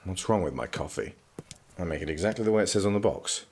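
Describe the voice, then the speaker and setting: An actor playing a reserved, exasperated young prince and student in an audio drama, speaking formally and drily. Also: male voice, sits low, quick pace, husky, exaggerated voice. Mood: dry, stern. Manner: formal, clipped, deadpan.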